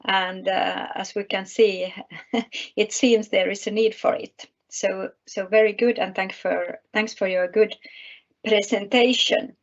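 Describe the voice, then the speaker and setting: Creaky-voiced Finnish woman moderating online. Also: medium pitch, steady tone, slow pace. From Finland. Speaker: webinar moderator. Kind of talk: polite formal introduction. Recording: dull, lo-fi webinar audio.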